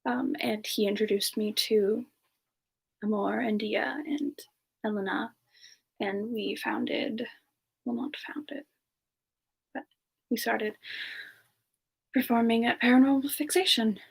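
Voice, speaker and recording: Siren ice spirit played by a woman: bashful, hesitant, breathy, slightly high, intimate ASMR roleplay delivery. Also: heavily acted. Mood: shy, tender. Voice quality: soft, breathy, slightly creaky. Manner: slow, hesitant, reminiscing with pauses. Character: bashful, soft-spoken female siren ice spirit, bar singer. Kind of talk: semi-ASMR in-character storytelling.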